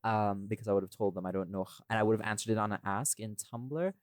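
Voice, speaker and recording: A man's voice: on the low side, fast talker, dry recording, clean studio sound. A man in a casual podcast chat, talking in a rambling, irreverent way and swearing freely as he explains himself. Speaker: podcast host and voice actor.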